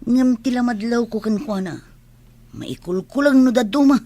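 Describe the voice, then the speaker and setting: A woman playing a sly, eager sidekick in a radio drama, persuasive and animated, with a Filipino accent. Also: fairly high pitch, normal speed. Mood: eager, reassuring.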